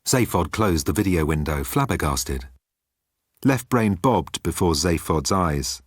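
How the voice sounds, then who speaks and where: Low-voiced man narrating comic fiction. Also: lively intonation, a little slow, breathy edge, no room sound, clean studio sound. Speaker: audiobook narrator.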